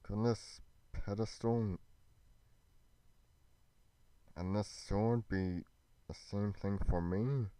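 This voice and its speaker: A man's voice: lowish voice, fairly even intonation, slow pace, dark tone, smooth, no echo. A man playing a solemn, determined warrior hero in a Minecraft roleplay, speaking in grave, formal heroic phrases. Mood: hushed wonder. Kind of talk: amateur Minecraft roleplay acting.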